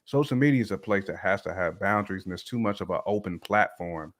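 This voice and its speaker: A man with a distinctly low voice talks casually as a talk show guest. His phrasing is colloquial and slangy, and he speaks in an opinionated, conversational way. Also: animated, quick pace, a bit bright.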